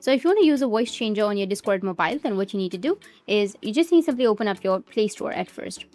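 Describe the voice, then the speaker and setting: A woman giving step-by-step instructional narration for a software tutorial, with repetitive phrasing. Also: medium pitch, expressive, fast, faint music behind. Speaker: tutorial presenter.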